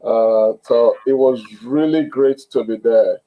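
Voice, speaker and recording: A man hosting an online interview over a video call, speaking haltingly with many fillers and restarts. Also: medium pitch, phone-quality sound. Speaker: host.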